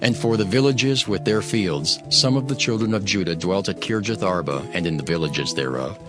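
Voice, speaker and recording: A man with a fairly low voice reads scripture as a narrator. His delivery is solemn and measured, in the formal style of a dramatised audio Bible. Music plays clearly behind him. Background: background music.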